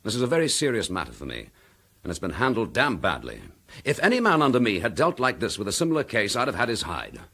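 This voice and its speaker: An actor playing an accused chief inspector, dogged and defiant, speaking in a firm, measured, indignant manner in a radio drama. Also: male voice, lowish voice, huge pitch swings, bright, forceful tone, full character voice. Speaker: police detective.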